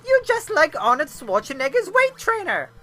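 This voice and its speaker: An excitable young storybook hero, played by someone reading aloud, cheeky and quick with a wisecrack. The voice is pushed very high and bright, full of exclamation. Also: full character voice. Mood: startled, cheeky. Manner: high-pitched exclamatory delivery.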